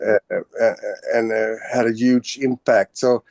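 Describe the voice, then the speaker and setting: A man's voice: mid-range voice, fairly even intonation, a little slow, a little mellow, dry recording. A man from Sweden, a band's singer, answering interview questions casually, with many fillers and restarts. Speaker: band vocalist.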